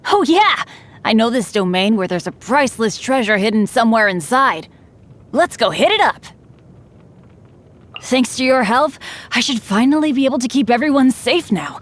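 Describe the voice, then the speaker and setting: A woman's voice: a little high, animated, normal speed, close to an everyday voice. A woman voicing an upbeat, earnest, unlucky teenage boy adventurer for a video game, eager, chatty and self-deprecating. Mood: proud, excited. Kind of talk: video game character voice lines.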